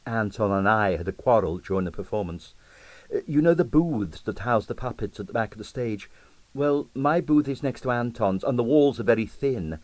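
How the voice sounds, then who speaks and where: A man's voice: medium pitch, expressive, moderate pace, a little mellow, no echo, close to an everyday voice. Man voicing a mischievous, confiding ballerina. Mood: confiding, upset. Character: mischievous, candid young Russian ballerina.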